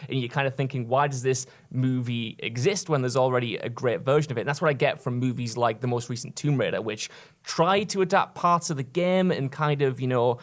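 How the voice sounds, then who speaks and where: A man's voice: medium pitch, brisk. Man thinking through an argument aloud. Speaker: podcast panelist. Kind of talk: casual analytical discussion.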